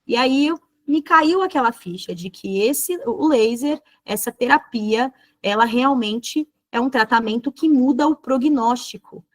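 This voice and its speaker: A woman's voice: fairly high pitch, expressive, unhurried, hardly any pauses. A woman with a Brazilian Portuguese accent giving an online lecture as a dentist, explaining fluently and often checking in with tag questions.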